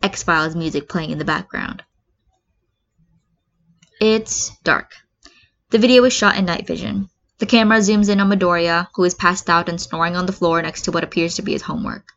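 A woman's voice: on the low side, moderate pace, vocal fry, a bit bright. Woman reading a story, slightly muffled. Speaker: fan fiction reader. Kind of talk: expressive amateur story narration.

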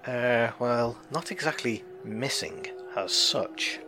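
A man playing a measured, tactful starship captain in a sci-fi audio drama. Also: mid-range voice, lightly acted. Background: music.

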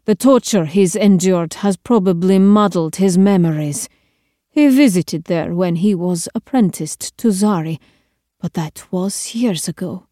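A woman's voice: on the low side, a little slow, mellow, dry recording, studio quality, close to an everyday voice. A woman voicing a stern, imperious queen in an audiobook story, cold, clipped and commanding. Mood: dismissive. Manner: clipped, authoritative, scolding.

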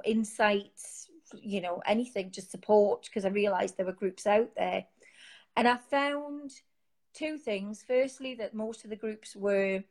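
A woman's voice: medium pitch, darkish tone. Chatty Geordie woman, lots of fillers. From Newcastle, England. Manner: chatty, rambling, with many fillers and restarts. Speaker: guest, founder of an online support group. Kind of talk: casual live interview. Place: home, sitting on a sofa. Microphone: phone.

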